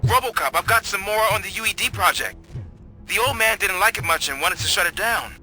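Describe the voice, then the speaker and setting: A man's voice: high voice, animated, fairly quick, sharp tone, faint music behind, exaggerated voice. An actor playing an urgent male ally in a video game, confiding information in a briefing manner.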